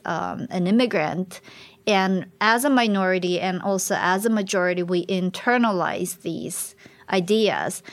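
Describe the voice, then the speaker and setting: A woman's voice: medium pitch, dry recording. A woman researcher explaining her work in an interview, thoughtful and explanatory, often restarting her sentences. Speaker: researcher guest. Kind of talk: academic interview talk.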